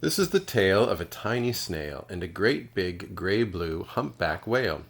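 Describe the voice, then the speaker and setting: A man's voice: deep voice, big pitch swings, moderate pace, gravelly. A man reading children's storybooks aloud expressively, like a kindergarten teacher.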